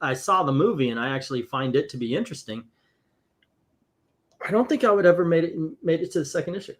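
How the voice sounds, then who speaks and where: A man in his fifties hosting a casual comic book chat, wry and quick to mock clunky dialogue. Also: a little high, expressive. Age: 50s. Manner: wry, reads comic dialogue aloud mockingly. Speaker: comic book podcast host.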